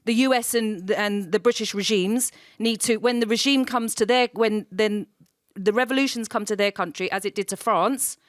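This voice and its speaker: A woman's voice: mid-range voice, normal speed, dry recording, clean studio sound. A woman speaking up from a debate audience, impassioned and emphatic, sometimes tripping over her words. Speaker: audience member.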